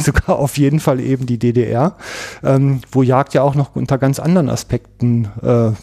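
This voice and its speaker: Mellow-voiced man hosting a podcast. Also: medium pitch. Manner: hesitant, many fillers, rambling. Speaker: podcast host. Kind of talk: casual hunting podcast interview.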